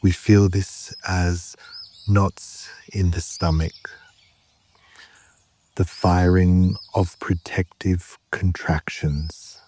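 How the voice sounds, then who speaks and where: A man guiding a meditation in a calm, measured way, with short phrases, long pauses and a soft, at times breathy voice. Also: low voice. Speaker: yoga nidra meditation guide. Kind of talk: slow guided meditation narration.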